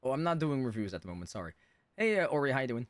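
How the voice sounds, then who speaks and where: A man's voice: mid-range voice, sing-song, very fast. A man giving casual live commentary as a game streamer. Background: game music.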